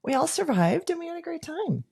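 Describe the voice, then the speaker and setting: A woman's voice: very high voice, big pitch swings, fairly quick, gravelly, darkish tone, slight background noise. A middle-aged woman co-hosting a podcast in casual chat, upbeat and affirming her husband's points. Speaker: podcast co-host.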